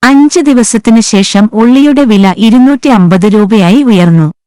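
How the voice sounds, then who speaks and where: A woman's voice: on the high side, measured pace, dark tone, clean tone, no room sound. A woman reading scripted moral stories for children as the narrator. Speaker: story narrator. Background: background music.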